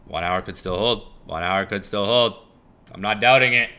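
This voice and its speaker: A man's voice: on the low side, normal speed, pushed and bright. A young man hosting a casual live stream, rambling, chuckling and swearing casually as he repeats himself. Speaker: live-stream trading host.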